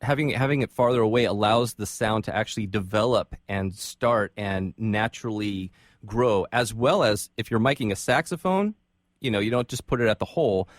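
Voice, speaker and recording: Lowish-voiced man, dry close sound. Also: normal speed, no room sound. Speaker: podcast host. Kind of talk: casual interview.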